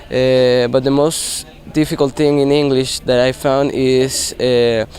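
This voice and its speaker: A young man with a mid-pitched voice whose first language is Spanish. He talks steadily, dropping many "uh" fillers between his points, like a student in a class video. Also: level tone, slow, a little mellow.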